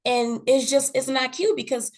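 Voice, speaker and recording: Earnest woman, fairly high voice, candid chat. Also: moderate pace, darkish tone. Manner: earnest, repeats words, often says "you know what I'm saying". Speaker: podcast guest. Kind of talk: candid conversational talk.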